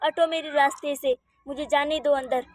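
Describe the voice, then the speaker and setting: Woman acting a shrill, spiteful daughter-in-law. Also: steady tone, normal speed, slight background noise, natural voice. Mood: angry, scolding. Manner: scolding, bossy, insulting. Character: spiteful, quick-tempered daughter-in-law in a village household. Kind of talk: children's cartoon story acting.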